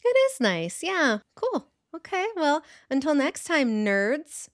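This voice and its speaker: Woman, animated intonation, casual podcast chat, dry sound with no room echo. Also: high-pitched, takes it slowly. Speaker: podcast co-host.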